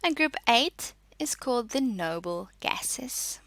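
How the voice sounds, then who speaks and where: A woman teaches a school science lesson in a bright voice with lively, varied intonation. She reads and explains in an instructional way, posing questions and answering them, with a creaky edge in a close, dry sound. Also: fairly high pitch, slow pace, no echo. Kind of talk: school lesson, reading notes and explaining exercises.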